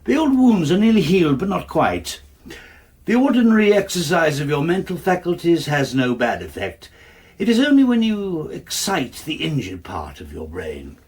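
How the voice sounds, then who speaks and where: Radio drama consultant: English, formal and clinical, roughened husky tone, strongly modulated delivery, cool authority. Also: male voice, fairly high pitch, big pitch swings. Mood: authoritative, explanatory. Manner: measured, formal, clinical, probing. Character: eminent, authoritative male nerve specialist, coolly controlled. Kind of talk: British radio drama acting.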